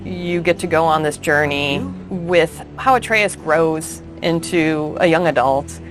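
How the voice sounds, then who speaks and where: A woman's voice: low voice, unhurried. A woman speaking as a game developer in a promotional interview, searching for words, with music behind her.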